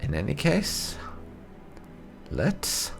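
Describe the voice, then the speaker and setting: A deep-voiced man hosts a game playthrough in a chatty, explanatory way. His pitch swings widely and a gravelly creak runs through his voice. Music plays clearly behind him. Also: wildly swooping pitch, drawn-out words. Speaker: gaming video host. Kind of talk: casual game playthrough commentary.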